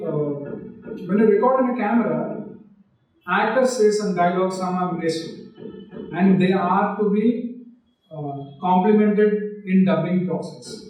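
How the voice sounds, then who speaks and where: A man's voice: high voice, darkish tone. A man giving a live online film direction lecture, explaining step by step and checking in with his listeners with rhetorical questions. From India. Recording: very echoey room, distant from mic.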